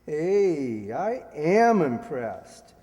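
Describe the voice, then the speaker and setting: A man's voice: a little high, very animated, extremely slow, mellow, lo-fi sound, full character voice. A man acting as an eager, easily impressed fellow in an old-time radio commercial. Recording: echoey hall, long reverb.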